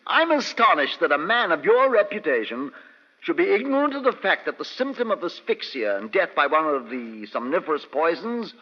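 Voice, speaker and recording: An actor playing a blustering, evasive villainous doctor, hesitant and indignant in an old radio play. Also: male voice, fairly high pitch, big pitch swings, normal speed, breathy edge. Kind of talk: old-time radio drama acting. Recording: dull old radio recording, long reverb.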